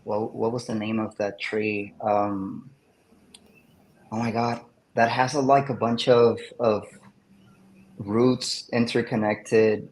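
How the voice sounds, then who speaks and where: A man's voice: fairly low pitch, level tone, takes it slowly, a little mellow, a little hiss or hum, low-quality recording. A man, an English teacher, answering questions in an academic podcast interview and explaining ideas with rhetorical questions. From Puerto Rico. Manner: explains with rhetorical questions and frequent tag "right?".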